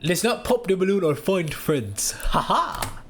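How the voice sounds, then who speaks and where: A man giving loud, mocking, slangy running commentary as he reacts to a dating show. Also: high voice, big pitch swings, a little slow, a loud crowd. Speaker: reaction video host.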